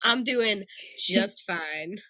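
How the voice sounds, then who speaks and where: Bright giggly woman co-host. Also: medium pitch, lively intonation, extremely slow, dry recording. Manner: giggly, playful banter. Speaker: podcast co-host. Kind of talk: casual chat.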